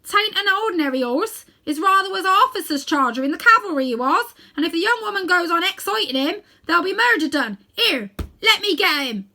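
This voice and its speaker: An earnest, rough-edged cabby performed by a woman storyteller, plain-spoken and colloquial. The pitch sits high and swings about in animated storybook fashion. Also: a little fast, exaggerated voice. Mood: agitated. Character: rough, coaxing working-class male London cabby.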